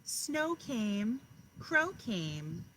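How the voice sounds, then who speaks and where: Animated woman reading to young children. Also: on the high side, extremely slow. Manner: encouraging, asks questions of children. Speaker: kindergarten teacher. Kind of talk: children's read-aloud with asides to young listeners. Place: outdoors in a backyard. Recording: clear outdoor background noise. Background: outdoor noise.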